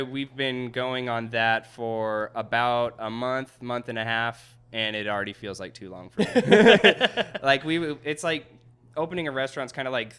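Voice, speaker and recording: Easygoing chef with a bright, smooth voice. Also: male voice, medium pitch. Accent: American Midwest. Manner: easygoing, laughs often, hesitant fillers. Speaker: chef and restaurant owner. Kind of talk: casual interview answers.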